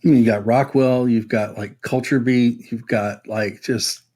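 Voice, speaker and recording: A man's voice: medium pitch, fairly even intonation, a little slow, warm tone. A man co-hosting a podcast, explaining his views on music in a casual, analytical way. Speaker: podcast co-host.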